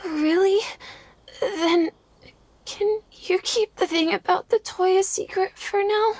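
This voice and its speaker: A woman's voice: extremely high pitch, steady tone, a little mellow, no echo. A feverish little boy. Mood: timid. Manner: slow, halting and timid. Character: small sick boy, frightened and feverish. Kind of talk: video game voice acting.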